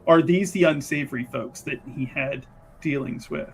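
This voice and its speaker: A man's voice: fairly high pitch, normal speed, creaky, slight background noise. A man voicing a sly, teasing female investigator with a politely probing, insinuating manner in a tabletop game. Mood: coaxing.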